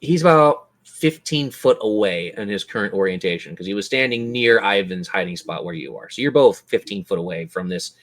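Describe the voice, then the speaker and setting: A man acting as game master, narrating vividly and ruling casually on a tabletop game. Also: on the low side. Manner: descriptive, vivid monster narration.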